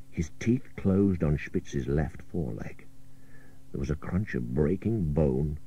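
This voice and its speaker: A man's voice: deep voice, dark tone, slightly rough. A man reading an adventure story as a dramatic narrator, with a suspenseful, theatrical delivery. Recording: dull old radio cassette.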